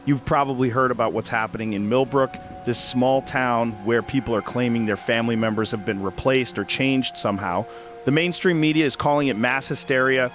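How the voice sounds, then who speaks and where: A man's voice: mid-range voice, brisk, fairly bright tone, faint music behind, natural voice. A man acting a curious, skeptical talk show host in an audio drama, probing in a conversational way. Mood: intrigued, introducing.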